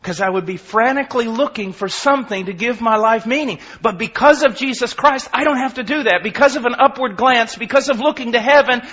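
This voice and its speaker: A man in his forties preaching a sermon with passion. He moves from quiet, low passages to shouted peaks and mixes in self-deprecating humor. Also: a little fast, few pauses, slightly rough. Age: 40s. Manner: impassioned, swinging from quiet to shouting. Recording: dull lo-fi recording.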